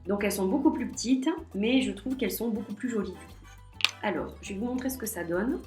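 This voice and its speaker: A woman's voice: mid-range voice, brisk, a little mellow. A woman with a slight head cold, chatting hesitantly in a casual vlog as she shows her tarot and oracle cards. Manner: chatty, hesitant, many fillers. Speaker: tarot and oracle card vlogger. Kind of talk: casual show-and-tell vlog. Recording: echoey room with faint music.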